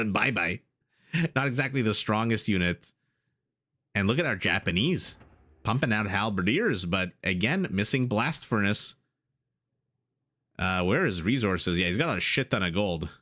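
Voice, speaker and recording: A man giving excitable live commentary on a strategy game, his voice raspy and his throat dry, with fillers and laughs. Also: lowish voice. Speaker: game caster. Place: home room.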